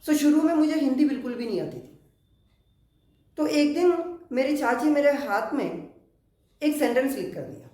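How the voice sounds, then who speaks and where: A man's voice: very high-pitched, expressive, darkish tone. Man teaching, echoey room. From Kerala, India. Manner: slow, deliberate explaining with many English words. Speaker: English teacher. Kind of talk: spoken English grammar lesson.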